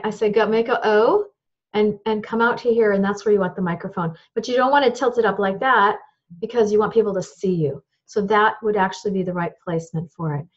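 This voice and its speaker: A woman voice teacher with a high voice explains microphone use in a plain, practical way, holding up gear as she goes. The sound is a little dull and lo-fi. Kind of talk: instructional talk to camera.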